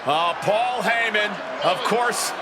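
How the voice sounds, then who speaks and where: A man's voice: sits high, takes it slowly, heavy background noise. A man playing a fired-up pro wrestling play-by-play announcer in a video game, urgent and emphatic. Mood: tense, explanatory. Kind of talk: excited live sports commentary. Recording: loud arena crowd and music behind.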